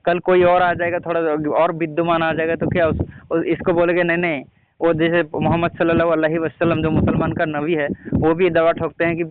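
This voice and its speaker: A man's voice: on the high side, monotone, fairly quick. A man debating in an argumentative way on a phone call, pressing insistently with repeated questions. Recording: muffled phone call recording, noisy.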